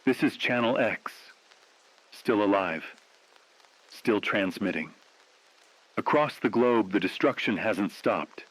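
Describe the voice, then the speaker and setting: A low, deep male voice of an actor plays a defiant last radio newsman in an apocalyptic audio drama. He speaks gravely and with measured, poetic solemnity. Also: lively intonation, rough recording. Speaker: radio news host. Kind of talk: scripted dramatic apocalyptic news broadcast.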